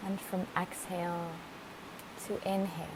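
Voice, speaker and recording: A woman leading a guided meditation in a gentle, soothing manner with deliberate phrasing. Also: fairly low pitch. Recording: steady hiss behind the voice. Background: hiss, rushing waterfall water.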